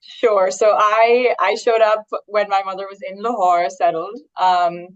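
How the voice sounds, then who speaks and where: A young woman from Pakistan, a guest on a video call, speaking in a measured way with some fillers. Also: medium pitch, steady tone, highs cut off. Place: living room with leather sofa.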